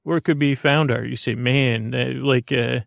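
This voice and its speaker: A man hosting a bedtime podcast in a gentle, meandering monologue, self-deprecating and often losing his thread, with a gravelly voice. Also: medium pitch, steady tone, normal speed, dry recording. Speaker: host of a bedtime sleep podcast.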